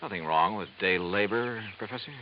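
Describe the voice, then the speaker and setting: A man's voice: lowish voice, brisk, a little hiss or hum, close to an everyday voice. An actor playing a hard-boiled male insurance investigator who narrates in an easygoing, wry first person, in 1950s radio drama acting. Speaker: narrator and lead. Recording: dull old radio recording with music.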